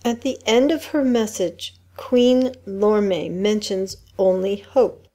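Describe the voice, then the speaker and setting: A woman's voice: mid-range voice, takes it slowly, dark tone, lightly acted. A woman playing a polite, helpful protocol droid in a family radio play, speaking in a formal, courteous way. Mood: politely helpful.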